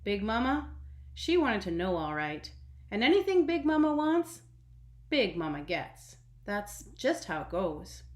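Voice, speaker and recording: A woman reading a children's storybook aloud expressively, with dramatic emphasis and hushed phrase endings. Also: mid-range voice, sing-song, unhurried. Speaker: storybook reader. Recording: echoey room.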